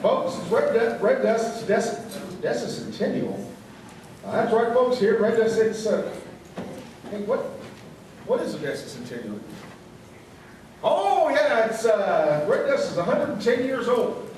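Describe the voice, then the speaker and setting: An actor playing an earnest, folksy small-town radio newsreader, delivering parody local news in a mock-serious way. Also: male voice, very high voice, very animated, a little slow, pauses often, a little mellow, heavily acted. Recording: echoey stage recording, distant mic, noise.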